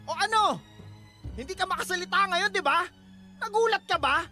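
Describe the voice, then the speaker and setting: An actor playing a bitter, jealous husband in a Filipino radio drama, curt, sarcastic and accusing. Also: male voice, very high-pitched, normal speed, pushed and bright, soft background music, full character voice. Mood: furious, accusing.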